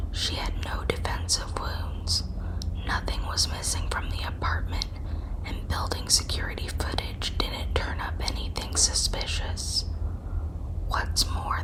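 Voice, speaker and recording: A woman narrating a scripted story in a breathy, unvoiced ASMR whisper, with deliberate phrasing. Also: low-quality recording. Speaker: ASMR true crime narrator.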